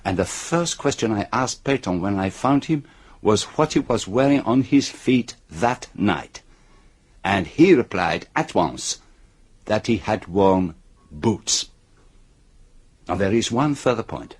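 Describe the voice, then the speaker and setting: An actor playing a shrewd, methodical detective in a radio drama, speaking deliberately and theatrically as he builds his case point by point. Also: fairly low pitch, animated, husky, exaggerated voice. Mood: methodical, probing. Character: shrewd, methodical male detective unmasking a killer.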